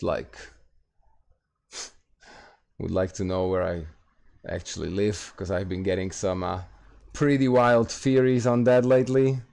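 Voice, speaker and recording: A Slovak-accented man hosts a gaming livestream late at night, chatting loosely with viewers. His voice sits low and mellow with a gravelly fry, and he stumbles through fillers and restarts as he reads out chat. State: tired, streaming late at night. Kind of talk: casual livestream chat, answering viewer questions.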